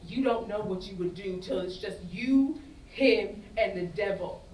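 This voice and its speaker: A woman's voice: medium pitch, measured pace, a little mellow, natural voice. Woman acting a worldly, hard-bitten dame, echoey stage. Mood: urging, blunt. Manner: knowing, blunt, Southern-flavored vernacular. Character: worldly, hard-bitten, cynical Creole woman advising younger gal. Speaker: student actor in a staged reading. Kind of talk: dramatic staged reading of a stage play. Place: indoor festival staged reading. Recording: echoey room, distant mic, faint noise.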